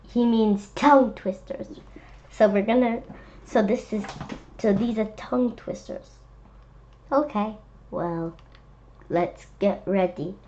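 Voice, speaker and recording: A woman's voice: medium pitch, dull audio. A woman reading a children's book aloud, adding chatty, playful asides and speaking directly to young listeners.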